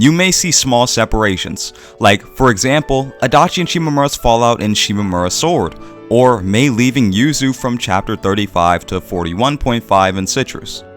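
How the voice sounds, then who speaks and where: A man's voice: mid-range voice, fairly bright tone. A man hosting and narrating a scripted video essay.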